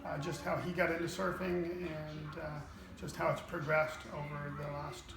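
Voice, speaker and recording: A man's voice: on the high side, flat delivery, normal speed, creaky. A man from California, interviewing in a laid-back way, with lots of fillers. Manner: laid-back, filler-heavy surfer talk. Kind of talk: casual interview. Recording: echoey, crowd noise behind.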